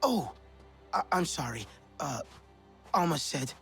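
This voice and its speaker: An actor playing an earnest, cautious young alien newcomer in a video game, with a breathy, rough voice. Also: male voice, fairly high pitch, very animated, takes it slowly, a bit bright, faint music behind, exaggerated voice. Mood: awkward, polite. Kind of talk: video game cutscene acting.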